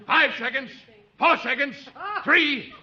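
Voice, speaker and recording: An actor playing a businesslike studio stage manager, clipped in delivery, in 1940s radio comedy. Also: male voice, very high-pitched, slow, exaggerated voice. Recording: muffled old radio, loud audience.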